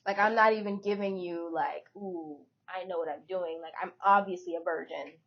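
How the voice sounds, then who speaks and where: A woman in her twenties with a light, feminine voice, co-hosting a podcast and telling stories with animated emphasis. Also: on the low side, moderate pace. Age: 20s. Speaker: podcast co-host. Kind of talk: casual chat. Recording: dull lo-fi podcast audio.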